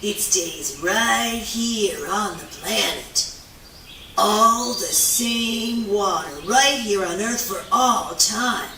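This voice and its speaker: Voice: mid-range voice, extremely slow, bright, forceful tone, full character voice. A performer voicing a laid-back, philosophical surfer-dude animal in a children's puppet show, drawling slang and easily awed. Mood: awed, soothing. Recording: echoey room, distant mic, faint noise.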